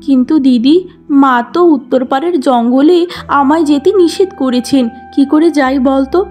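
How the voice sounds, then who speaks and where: A woman's voice: high-pitched, unhurried, darkish tone, faint music behind. A woman voicing a sweet, devoted sixteen-year-old village girl in a cartoon fairy tale, earnest and pleading. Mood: timid, earnest.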